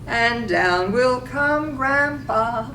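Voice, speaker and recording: A woman's voice: fairly high pitch, animated, very slow, pushed and bright, exaggerated voice. A woman playing a soothing, droll figure who croons a lullaby in a staged reading of a poetic radio drama. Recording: roomy, distant, clearly noisy.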